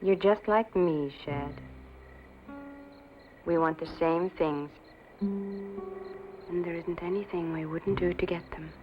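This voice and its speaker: Scheming Western temptress, muffled vintage sound. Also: female voice, on the low side, steady tone, slow, long pauses. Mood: seductive, coaxing. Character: scheming, seductive married woman in a Western. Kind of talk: old-time Western drama acting. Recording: dull vintage audio with music behind.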